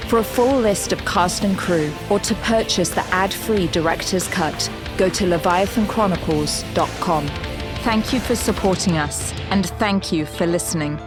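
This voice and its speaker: A woman's voice: lowish voice, moderate pace. A woman reading scripted closing credits as an announcer. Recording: music under the voice.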